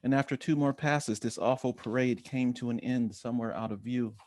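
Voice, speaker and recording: An American man reading a personal essay aloud in measured literary narration, voicing bits of quoted dialogue as he goes. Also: mid-range voice, a little mellow. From Chicago, United States. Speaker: guest essayist reading own work.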